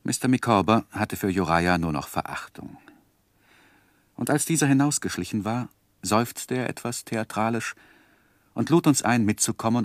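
An actor playing a composed first-person narrator in a literary radio drama, measured and articulate. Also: male voice, on the low side, no room sound. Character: composed male narrator recounting his own life story.

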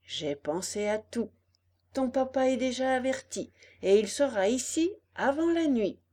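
Lady doing a kind fairy for a kids tale, slow n mellow, patient, tender, bit of gentle scolding. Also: on the high side, animated, voice pushed up. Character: kind, gently chiding young female fairy.

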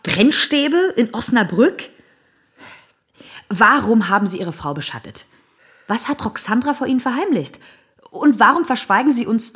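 An actress playing a persistent, sceptical police detective who questions directly in a radio drama. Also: female voice, medium pitch, a bit bright, breathy edge.

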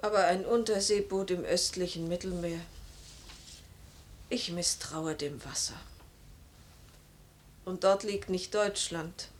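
A woman's voice: lowish voice, fairly even intonation, slow, long pauses, a little hiss or hum. An actress playing a worried, skeptical woman who longs for a missing loved one, grave and restrained in a radio play. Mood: doubting, grave.

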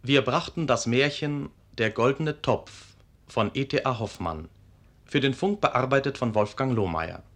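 Male radio announcer, slow measured delivery, medium pitch, formal credits reading.

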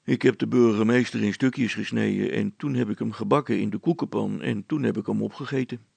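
A man voicing a placid village father in a storybook, deliberate, laconic and good-natured. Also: fairly low pitch, steady tone, gravelly, darkish tone, slightly rough, no echo, exaggerated voice. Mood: resigned. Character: placid, unhurried, wry village father, male. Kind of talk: dramatized storybook reading.

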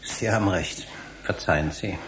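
An actor playing a courteous, earnest middle-aged history professor with a contrite streak in a German radio drama. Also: male voice, deep voice, moderate pace, a bit bright, poor audio. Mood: apologetic.

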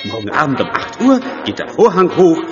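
A sarcastic, exacting theater director in a radio play. His pitch is highish and leaps about as he gives orders. The sound is dull, with long hall reverb. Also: huge pitch swings, fairly quick, loud music behind the voice. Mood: soothing. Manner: bossy, sarcastic. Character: exacting, excitable theater director running a rehearsal. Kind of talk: German audio drama acting.

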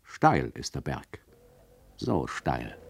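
A man's voice: extremely low pitch, very animated, drawn-out words, vocal fry, background noise, exaggerated voice. A smug radio drama narrator. He talks straight to the listeners with mock patience and dry sarcasm. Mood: condescending. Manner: sardonic, addresses listeners directly, mock-patient. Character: condescending, chatty male narrator who mocks his listeners. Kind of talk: ironic radio play narration.

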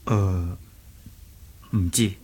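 An actor playing a polite, guarded young martial-arts hero, speaking in a measured way in an audio drama. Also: male voice, sits low, fairly even intonation, dark tone, breathy edge, exaggerated voice. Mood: guarded, courteous. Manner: courteous, measured. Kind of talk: Cantonese audio drama acting.

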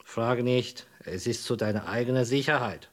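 Actor as terse, stern underworld boss. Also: male voice, medium pitch, moderate pace, close to an everyday voice. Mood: commanding. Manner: commanding, terse, suspicious. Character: stern, secretive male boss giving shady orders. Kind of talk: radio drama acting.

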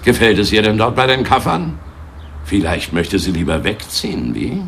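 Menacing, sneering secret police officer, wildly swinging pitch. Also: male voice, sing-song, normal speed, gravelly, a bit bright, a little hiss or hum, exaggerated voice. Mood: sneering mockery. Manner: mocking, sneering, barks orders when angered. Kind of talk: German radio drama acting.

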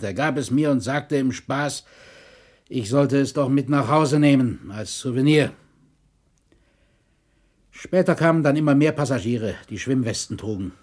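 Man acting as sober, shaken shipwreck survivor, measured. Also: medium pitch, a little mellow, lightly acted. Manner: measured, formal, sober witness testimony. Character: male colonel and historian, shaken shipwreck survivor testifying. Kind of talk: documentary radio drama testimony.

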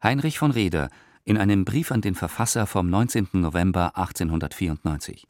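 A man reading historical letters and documents for a radio play in a theatrical, measured manner, close to a studio microphone. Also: sits low, a little mellow, dry recording.

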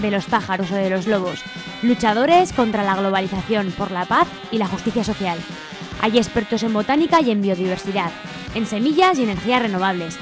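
Woman, Spain Spanish accent, radio co-host, scripted delivery, medium pitch, soft music bed.

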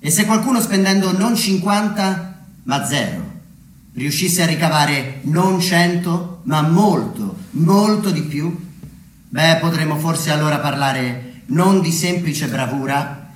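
A man delivering a theatrical narrative monologue, deliberately, with dramatic pauses and emphasis. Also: a little high. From Umbria, Italy. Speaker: solo theatre storyteller. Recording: roomy echo with background noise.

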